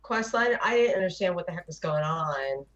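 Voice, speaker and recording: Slightly muffled lo-fi audio of a woman chatting as a panelist on a gaming show. Her voice is a little low for a woman, and she talks informally, acting out her own reactions. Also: lively intonation, normal speed, a bit bright. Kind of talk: casual gaming chat. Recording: dull lo-fi voice chat audio.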